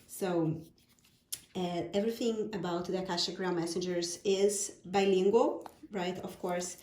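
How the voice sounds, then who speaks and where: Slow, gushing Brazilian woman. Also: lowish voice, steady tone, a little mellow, echoey room, a little hiss or hum. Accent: Brazilian Portuguese. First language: Portuguese. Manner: gushing, repeats words of praise. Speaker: oracle deck creator. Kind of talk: product unboxing vlog.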